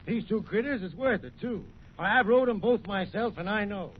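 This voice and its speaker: An actor playing a wily frontier livestock trader, haggling in rustic American speech in a radio western. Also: male voice, sits high, normal speed, natural voice. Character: wily, folksy male burro trader of the Old West. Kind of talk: old-time radio western acting. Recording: muffled, noisy old radio recording.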